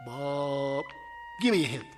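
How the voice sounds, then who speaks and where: A man's voice: mid-range voice, slow, soft background music, lightly acted. A man voicing a cheeky, boyish ventriloquist's dummy trading corny, stammering wisecracks in a radio play. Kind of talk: vaudeville comedy patter in a radio play.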